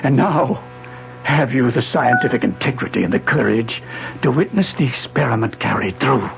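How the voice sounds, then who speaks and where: An intense old professor. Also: male voice, mid-range voice, expressive, normal speed, vocal fry. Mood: urgent. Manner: insistent, lecturing. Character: retired older male physics professor, obsessive and intense. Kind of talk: 1970s radio drama acting. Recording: muffled old radio broadcast with music.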